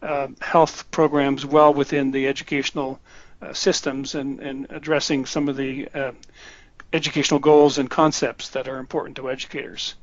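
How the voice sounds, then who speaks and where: A man hosting a webinar, introducing speakers in a halting way with many fillers and restarts. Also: medium pitch, little pitch movement, no echo. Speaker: webinar host. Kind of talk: hesitant spoken introduction. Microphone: computer mic on a webinar call. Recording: dull, dry lo-fi webinar audio.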